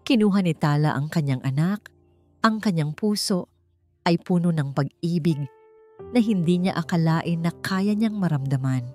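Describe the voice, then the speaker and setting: A woman reads scripted, dramatic romance narration in a measured manner. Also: fairly low pitch, animated, a little mellow. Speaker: story narrator. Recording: music behind the voice. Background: background music.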